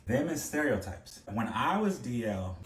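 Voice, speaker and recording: A man's voice: mid-range voice, very animated, unhurried. A man giving blunt, candid commentary in a social media clip, talking openly about his own past. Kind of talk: direct-to-camera commentary clip. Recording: roomy clip with faint background noise.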